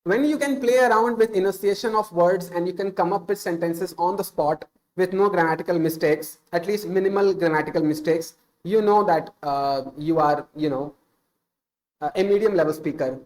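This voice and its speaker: A man's voice: sits high, moderate pace, poor audio. Earnest Indian man giving speaking tips. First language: Hindi. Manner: earnest, unscripted, with filler "uh" and self-corrections. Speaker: streamer giving English tips. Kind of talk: casual spoken advice on a stream.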